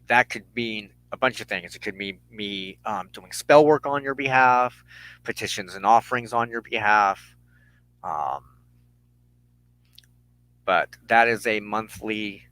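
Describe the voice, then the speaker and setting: A man hosting an informal broadcast, giving announcements to followers in a halting way with pauses mid-phrase. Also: lowish voice, pushed and bright, low-quality recording. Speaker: broadcast host and tarot reader.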